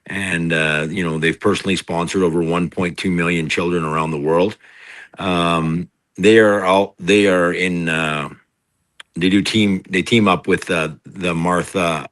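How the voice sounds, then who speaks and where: A middle-aged man with a deep voice, a singer-songwriter telling stories in a casual interview, rambling with many fillers and restarts. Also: fairly even intonation, measured pace, fairly bright tone, dry recording. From Canada.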